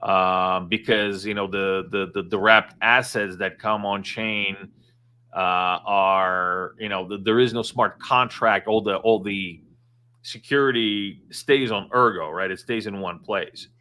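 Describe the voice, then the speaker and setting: A man's voice: fairly low pitch, fairly even intonation, unhurried, sharp tone, clean tone. A man chatting casually from his home office, rambling with many fillers and restarts. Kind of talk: casual live-stream chat.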